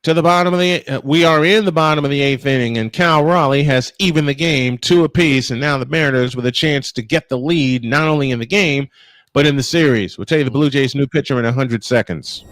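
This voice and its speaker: A man calling baseball play-by-play and telling anecdotes between pitches. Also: fairly quick, fairly bright tone, dry recording. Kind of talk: live sports commentary with anecdotes.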